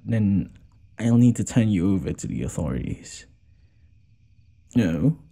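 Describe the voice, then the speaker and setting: A man playing a gentle, smitten noble lord in an intimate audio roleplay, hesitant and apologetic, stammering and sighing as he talks. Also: lowish voice, breathy edge, full character voice. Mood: hopeful, then earnest, defensive.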